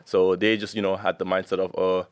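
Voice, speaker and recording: A young man with a low, deep voice speaks fast in a loose, easygoing chat. He strings thoughts together with constant fillers and restarts. The sound is close and dry. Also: dry recording. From Germany. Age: young adult. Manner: relaxed, rambling with many fillers. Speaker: guest. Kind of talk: casual chat.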